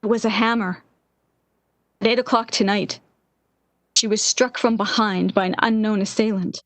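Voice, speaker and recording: A woman playing a distraught, obsessive lovesick woman in a 1940s-style radio drama, with an intense, halting, theatrical delivery. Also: medium pitch, fairly even intonation, dry recording, close to an everyday voice. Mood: grim, haunted.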